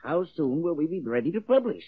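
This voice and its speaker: A man's voice: a little high, sing-song, a little fast, slightly rough. A man in an old radio drama playing an earnest, proper and anxious business partner. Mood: concerned. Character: earnest, well-meaning, fretful business partner. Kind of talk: 1940s radio acting. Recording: tinny, muffled old radio recording.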